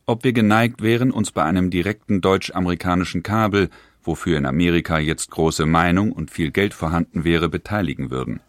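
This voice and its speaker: Male actor voices a 19th-century businessman's letter, low, formal, dry. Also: no echo, close to an everyday voice. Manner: formal, measured. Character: 19th-century businessman writing to his brother. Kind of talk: dramatized letter reading.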